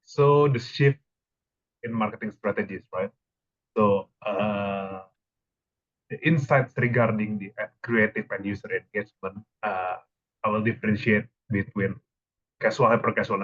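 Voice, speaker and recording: The audio is dull and lo-fi, carrying a man's slightly low voice. He speaks as a panelist, explaining his answer in a discussion. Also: a bit bright. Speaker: panelist, marketing professional.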